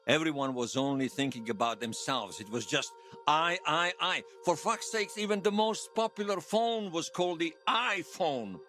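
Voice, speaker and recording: An earnest veteran believer in a cause, telling his story in a fiction podcast. His voice sits fairly high for a man and swings expressively, with emphatic, conversational delivery over music. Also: expressive, normal speed, sharp tone. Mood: impassioned, bitter. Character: earnest male veteran loyalist recounting an uprising, fervent believer. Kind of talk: fiction podcast monologue told as interview testimony.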